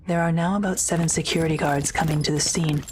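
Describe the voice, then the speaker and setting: A woman's voice: sits low, steady tone, quick pace, darkish tone, slight background noise. A woman reading scripted present-tense narration for an audio drama, as the narrator.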